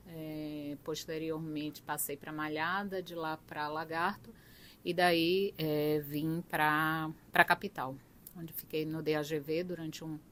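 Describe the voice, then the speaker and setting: A middle-aged woman with a Bahian Brazilian accent, telling her own story conversationally as a guest, with halting, uneven emphasis. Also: low voice, a bit bright, slight background noise. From Salvador, Bahia, Brazil. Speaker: police chief, interview guest. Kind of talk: conversational personal account.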